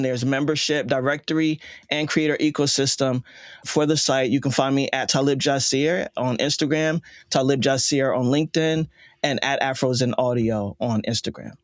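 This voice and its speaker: A man's voice: medium pitch, fairly even intonation, moderate pace, dry recording, studio quality. A man speaking as an interview guest, presenting his media platform conversationally.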